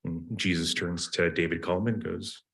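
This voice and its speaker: A man with a hoarse, deep voice that still comes out forceful and sharp, speaking at a meeting podium. He rambles through a sarcastic story with fillers and restarts. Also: fairly even intonation, moderate pace. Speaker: public commenter. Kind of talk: public comment at a meeting. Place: county committee meeting room. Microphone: podium microphone.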